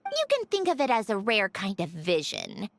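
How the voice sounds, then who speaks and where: A woman's voice: mid-range voice, wildly swooping pitch, normal speed, no echo. A woman playing a proud, prickly mechanical puppet who explains things precisely and haughtily in a video game cutscene. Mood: lecturing. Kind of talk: scripted game cutscene acting. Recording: music behind the voice. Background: game soundtrack music.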